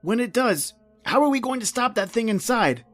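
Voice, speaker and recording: An actor playing a steady male companion who talks earnestly and gravely in an old-time radio horror play. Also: very high voice, normal speed, faint music behind.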